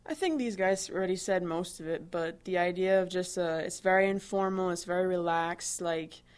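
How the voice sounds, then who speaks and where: A woman's voice: lowish voice, normal speed, a little mellow, clean tone. A woman in her twenties from Barbados, answering interview questions in a chatty way, with many fillers and restarts. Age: 20s. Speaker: interviewee, new employee.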